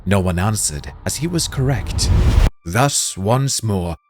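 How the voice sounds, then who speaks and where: A man narrating a spooky short story in an expressive storytelling manner that drops to a hush in the tense moments. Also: on the low side, takes it slowly, background noise.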